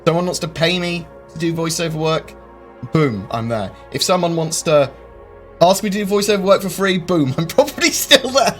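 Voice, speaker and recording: A man hosting a live-stream Q&A, rambling through viewer questions with lots of ums and quick jokes. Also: fairly high pitch, expressive. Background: faint game music.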